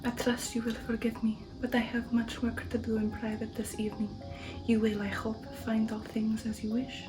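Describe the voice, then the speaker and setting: A woman voicing a courteous, ominous male vampire count from a gothic novel, hushed, formal and deliberate. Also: a little high, completely flat delivery, distant mic, over music, lightly acted, poor audio.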